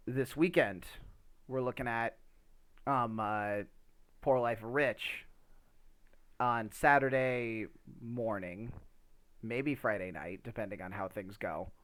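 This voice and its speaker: A man's voice: medium pitch, unhurried, long pauses, a bit bright, clean tone. A man co-hosting a gaming podcast, chatting casually in a wordy, rambling way with wry, colloquial asides. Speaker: podcast co-host and game streamer.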